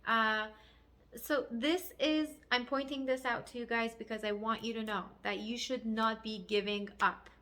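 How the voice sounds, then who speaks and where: A woman life coach with a Persian accent speaks in a fairly high voice. She is a native Farsi speaker delivering a motivational talk in English to viewers. Her manner is earnest and encouraging, with emphatic phrasing. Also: steady tone, moderate pace, fairly bright tone. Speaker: life coach and video host.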